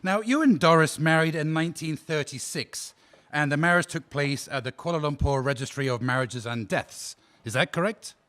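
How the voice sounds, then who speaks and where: A man's voice: fairly high pitch, normal speed, a bit bright, natural voice. A man playing a defense counsel in a courtroom radio drama, questioning a witness politely but insistently. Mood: courteous. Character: male defense counsel, formal and probing. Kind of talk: audio drama acting.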